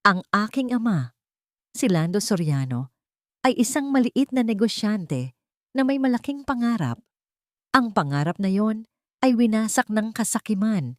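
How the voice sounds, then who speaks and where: A woman with a Filipino accent voicing a resolute, hopeful businesswoman giving a speech in a story, steady and clear. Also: medium pitch, a little slow, pauses often, dry recording, clean studio sound, voice pushed up.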